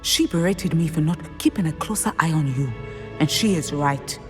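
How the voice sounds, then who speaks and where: A woman playing a protective, disapproving middle-aged mother in a game, firm and measured. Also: low voice, long reverb tail, soft background music, natural voice. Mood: worried, reproving. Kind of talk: game cutscene dialogue.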